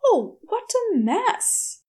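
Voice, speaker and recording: A woman's voice: extremely high pitch, sing-song, very slow, a little mellow, pitched above the performer's own voice, heavily acted. A woman voicing a fussy, bookish male angel in a story, courteous and genteel with an exasperated edge. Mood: dismayed.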